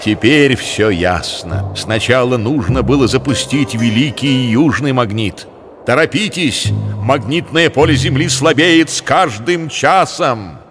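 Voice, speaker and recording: A man's voice: medium pitch, expressive, measured pace, natural voice. A man voicing a grave, ceremonious polar bear elder of an ancient brotherhood in a cartoon. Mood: grave, urgent. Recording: music under the voice.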